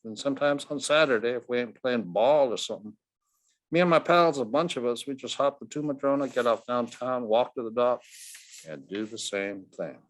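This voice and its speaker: A man's voice: medium pitch, moderate pace, dry recording, lightly acted. Man reading as an earnest, polite young boy. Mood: eager, matter-of-fact. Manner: plainspoken, eager, respectful. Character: earnest, polite eleven-year-old boy.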